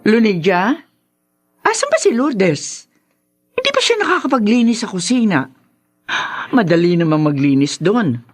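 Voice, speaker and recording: A woman's voice: medium pitch, big pitch swings, unhurried, pauses often. An actress playing a fretful, nagging middle-aged rich mother in a radio drama, scolding with a Filipino accent. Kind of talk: Tagalog radio drama acting.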